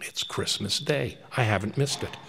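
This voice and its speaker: A man's voice: fairly low pitch, moderate pace, creaky, sharp tone, husky, heavily acted. A man voicing an old repentant miser, speaking in an impassioned, exclamatory way. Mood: giddy, joyful. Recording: long reverberant hall.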